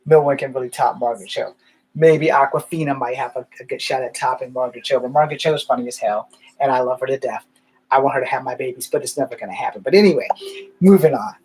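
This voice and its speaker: A man's voice: mid-range voice, quick pace, a little mellow, a little hiss or hum, rough recording. A man rambling through candid stories in a casual monologue, swearing now and then. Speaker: vlogger.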